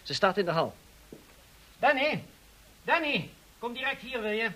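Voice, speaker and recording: High, animated, brash blackmailer. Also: male voice, measured pace, slight background noise, full character voice. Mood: insistent. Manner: theatrical, pushy, taunting. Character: brash, insinuating male blackmailer, goading and excitable. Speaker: actor in a radio play. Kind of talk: Dutch radio drama acting.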